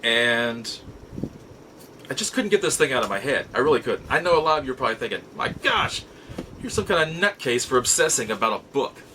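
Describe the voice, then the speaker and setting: A man's voice: mid-range voice, very animated, brisk, bright, forceful tone, a little hiss or hum, rough recording. A middle-aged man casually telling personal stories as a show host, rambling in a folksy way and often rephrasing himself. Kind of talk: casual personal storytelling to camera.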